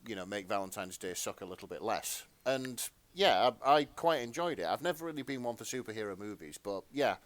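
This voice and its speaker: A man's voice: fairly low pitch, expressive, a little fast, hardly any pauses, no echo. A man co-hosting a podcast, chatting casually in a hesitant, self-correcting way. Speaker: podcast co-host. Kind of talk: casual chat.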